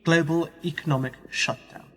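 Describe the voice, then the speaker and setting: A man's voice: fairly high pitch, steady tone, moderate pace, pushed and bright, big-room sound. An actor playing a sceptical young male journalist who probes and challenges in a radio play. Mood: probing, sceptical. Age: young adult. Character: young male journalist, sceptical, probing, indignant when used.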